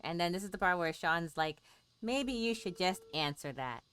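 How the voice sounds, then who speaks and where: A woman's voice: lowish voice, expressive, moderate pace, fairly bright tone, clear, resonant tone. A woman streamer giving casual, chatty game commentary, thinking aloud as she plays.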